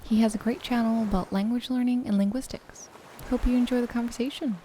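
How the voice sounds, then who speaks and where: A woman reading a scripted podcast introduction in a smooth, measured way. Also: on the high side, fairly even intonation, mellow, a little hiss or hum, low-quality recording.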